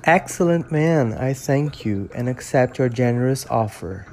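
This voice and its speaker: A shunned and desperate creature read aloud by a man, imploring in a fervent voice. The is clear, and the pitch sits in the middle range. Soft music runs faintly behind the storybook reading. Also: animated, unhurried. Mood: grateful. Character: lonely shunned creature, male, pleading and desperate.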